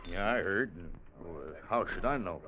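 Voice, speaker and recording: Low-voiced, uneasy saloon bartender. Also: male voice, animated, unhurried, pushed and bright, exaggerated voice. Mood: uneasy, evasive. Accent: American. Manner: hesitant, grudging. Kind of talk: 1950s radio drama acting. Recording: muffled old radio recording.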